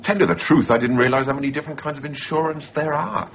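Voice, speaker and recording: A man's voice: a little high, quick pace, lightly acted. An actor in a radio drama playing a casual but insistent, scheming man obsessed with films. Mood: hesitant, chatty. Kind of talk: 1990s radio drama acting. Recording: muffled, noisy radio drama recording.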